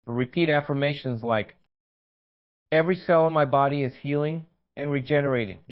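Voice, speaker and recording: A man reading a scripted guided meditation in a measured, reassuring, instructional manner. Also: fairly high pitch, highs cut off.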